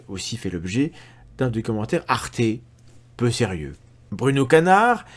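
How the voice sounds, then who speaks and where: A man's voice: medium pitch, darkish tone. A man in his forties, a science skeptic host, reading a critical analysis to camera with sardonic, ironic asides. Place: indoor room at a desk.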